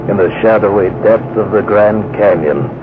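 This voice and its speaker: An actor playing a tough, intense adventurer, narrating gravely and deliberately in vintage radio drama style. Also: male voice, fairly low pitch, natural voice. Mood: grave, suspenseful. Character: tough, intense male adventurer recounting his ordeal. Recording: tinny, noisy old radio broadcast.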